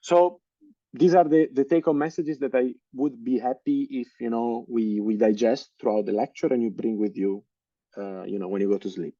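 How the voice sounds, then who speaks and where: Italian man lecturing online, mellow voice. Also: mid-range voice, steady tone, normal speed, no room sound, highs cut off. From Italy. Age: 30s. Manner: hesitant, many fillers and restarts.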